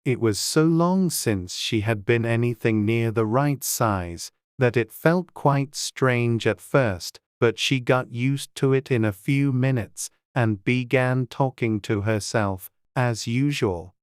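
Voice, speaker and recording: A man narrating a storybook audiobook in a measured, scripted storytelling manner. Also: medium pitch, smooth, dry recording, studio quality.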